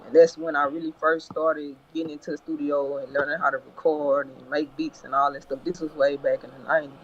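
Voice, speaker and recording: A laid-back man from Beaumont, with a slightly high voice, tells his story as a rapper guest. He comes through a dull, muffled remote line with a faint hiss behind him. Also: level tone, a little fast. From Beaumont, Texas. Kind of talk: casual interview.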